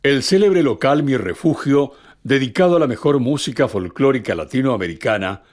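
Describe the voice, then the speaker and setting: Male narrator, scripted documentary delivery, very varied intonation, mid-range pitch. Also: expressive, moderate pace, a little mellow.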